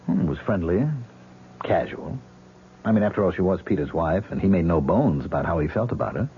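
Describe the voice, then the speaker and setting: An actor playing a troubled, defensive stage actor in a 1970s radio drama. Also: male voice, low voice, lively intonation, a little fast. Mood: guarded, hesitant. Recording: muffled, hissy old radio broadcast recording.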